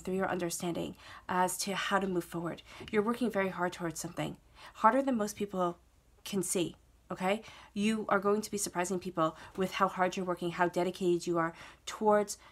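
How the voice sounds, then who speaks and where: A woman with a cold, sounding stuffed up, reading tarot cards alone in a steady, reassuring way, often repeating phrases and checking in with "okay?". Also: mid-range voice. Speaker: tarot reader. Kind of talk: solo tarot reading to camera.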